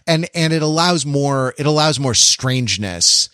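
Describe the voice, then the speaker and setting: Male podcast panelist, medium-pitched, casual but analytical, halting delivery with repeated phrases, dry sound. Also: expressive, pushed and bright, clear, resonant tone, no room sound.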